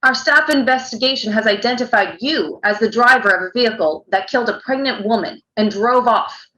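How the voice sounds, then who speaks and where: Woman as a bright-voiced, relentless reporter, roomy sound. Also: on the high side, a little fast. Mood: pointed, accusing. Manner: pointed, accusatory questioning. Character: probing, relentless female news reporter. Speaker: actor in a virtual table read. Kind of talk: screenplay table-read acting.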